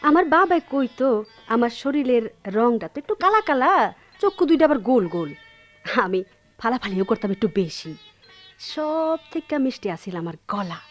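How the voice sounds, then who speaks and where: Coy, lilting young village wife. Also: female voice, high-pitched, expressive, moderate pace, soft background music. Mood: playful, wistful. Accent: rural Bangladeshi. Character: tender, playful young village wife and mother. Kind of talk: Bengali radio play acting.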